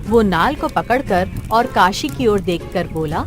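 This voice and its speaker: A woman's voice: medium pitch, lively intonation, measured pace, over music. A woman narrating a scripted children's cartoon story as the storyteller.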